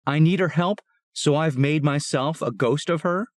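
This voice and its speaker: A man's voice: fairly high pitch, moderate pace, dry recording, clean studio sound, pitched above the performer's own voice, lightly acted. Man voicing an earnest troubled teenage girl.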